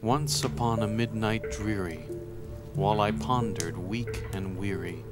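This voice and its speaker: A man giving a theatrical, dramatic reading of gothic poetry, with drawn-out phrasing. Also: fairly low pitch. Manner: theatrical, drawn-out phrasing, hushed line endings. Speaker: podcast host. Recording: loud music bed under the voice. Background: loud music and eerie sound effects.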